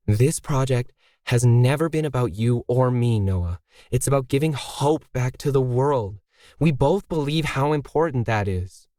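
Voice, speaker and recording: A man's voice: medium pitch, a little slow, mellow, dry recording, studio quality, close to an everyday voice. A man voicing a middle-aged woman artist in a story, defiant and indignant, tightly holding back anger. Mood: tight, controlled.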